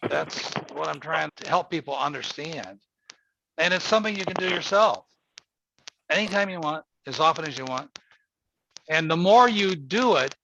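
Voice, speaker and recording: A man's voice: fairly high pitch, vocal fry, pushed and bright. A man in his seventies hosting a casual call-in chat, talking in a folksy, rambling, emphatic way. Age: 70s. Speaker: host. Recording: muffled lo-fi audio with faint noise.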